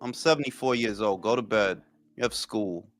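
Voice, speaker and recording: A man in his forties from New York, co-hosting a casual talk show and telling loud, profane stories. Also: lowish voice, moderate pace, faint music behind. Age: 40s. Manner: animated, profane storytelling. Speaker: podcast co-host. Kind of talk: casual chat.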